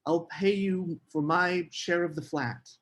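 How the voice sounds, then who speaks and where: A man's voice: high voice, measured pace, fairly bright tone. A man at a screenplay table read playing a scheming, charming literary man with formal, earnest phrasing. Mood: defensive.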